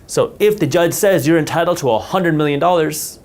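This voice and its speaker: A man with a somewhat high voice explains wealth protection at a whiteboard. His first language is Punjabi. He talks with emphasis and repeats words to drive points home. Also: brisk, darkish tone. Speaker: finance educator.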